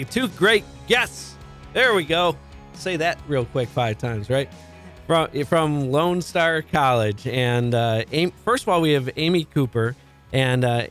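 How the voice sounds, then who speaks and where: A man with a Chicago accent hosting a radio talk show, chatty and jokey, stumbling and restarting now and then. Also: medium pitch.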